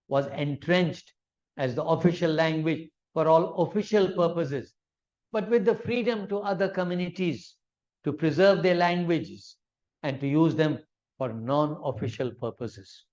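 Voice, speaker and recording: A man's voice: on the high side, a little slow, darkish tone, lo-fi sound. A man whose first language is Urdu, lecturing on law in an explanatory way with examples and frequent fillers. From Malaysia. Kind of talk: academic lecture.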